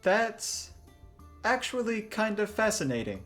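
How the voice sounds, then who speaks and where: A woman's voice: mid-range voice, big pitch swings, measured pace, fairly bright tone. A haughty fantasy bard, acted by a woman, with a mid-range voice over faint music. The delivery is theatrical and self-important, with a dry sarcastic edge. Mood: intrigued.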